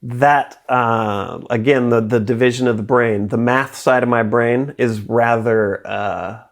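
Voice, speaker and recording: A man's voice: medium pitch, fairly even intonation, unhurried, vocal fry. A man in his forties tells stories in a loose, rambling way. He mocks himself, laughs easily, and sounds like he is chatting casually as an interview guest. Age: 40s. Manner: rambling, self-deprecating, laughing.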